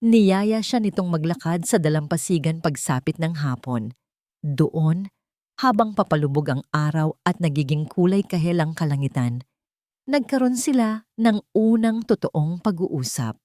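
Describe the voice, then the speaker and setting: A woman narrates a scripted romance story in a fairly low voice. Her intonation rises and falls expressively, and the recording is dry and close. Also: animated, measured pace, mellow, no echo.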